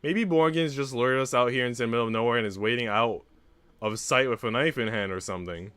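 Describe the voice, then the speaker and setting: A man's voice: mid-range voice, quick pace, fairly bright tone, clean tone, dry recording. A young man hosting a livestream, speaking informally into a close mic. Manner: slangy, swearing, informal. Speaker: livestream host. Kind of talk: casual livestream chat.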